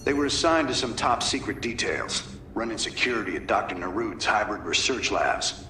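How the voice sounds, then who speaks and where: A man's voice: on the low side, normal speed, a little hiss or hum. A man voicing a weary, sardonic human soldier in a video game, with terse, folksy delivery. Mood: grim, wry. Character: gritty human rebel commander, wry weary soldier. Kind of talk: video game cutscene voice acting. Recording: game audio, echo, loud music behind.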